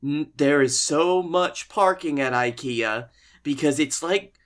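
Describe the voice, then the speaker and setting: A man's voice: fairly high pitch, slow pace. A man with an American accent telling casual, rambling comic stories as a podcast co-host, swearing freely as he goes. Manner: rambling, profane, conversational. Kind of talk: casual comic storytelling chat.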